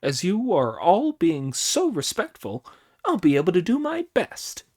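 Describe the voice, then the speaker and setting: A man's voice: sits high, unhurried, voice pushed up, heavily acted. A man reading an audiobook, voicing a cheeky, boastful male monkey king who bosses the others around. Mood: gleeful. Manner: boastful, commanding, excitable.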